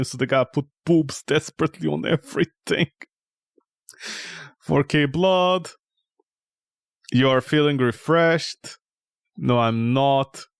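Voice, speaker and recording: A man's voice: mid-range voice, clear, resonant tone, no room sound, studio quality. A man streaming a game with casual, mocking, exasperated commentary and plenty of swearing. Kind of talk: casual, profane gameplay commentary.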